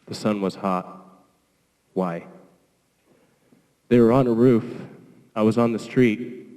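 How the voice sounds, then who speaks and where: A man with a deep, smooth voice reads poetry as a veteran. The phrases are short and declarative, resonating in the long echo of a hall. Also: takes it slowly, warm tone. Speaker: army staff sergeant, veteran poet. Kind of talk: poetry reading. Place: large hall at a public ceremony. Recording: echoey hall with long reverb.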